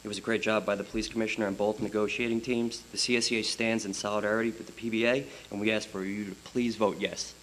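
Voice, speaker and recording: A union representative speaks briefly and directly at a council podium, friendly, with a few fillers. His voice is somewhat low. Faint hiss is heard. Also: flat delivery, quick pace, few pauses, fairly bright tone, rough recording. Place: council chamber.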